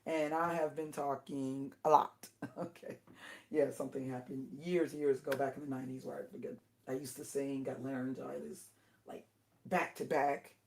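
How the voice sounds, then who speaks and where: A middle-aged woman with a low alto voice, hoarse and strained, hosting a casual livestream and talking in halting stops and restarts. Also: little pitch movement, a little mellow. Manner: halting, with many restarts and apologies. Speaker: livestream host.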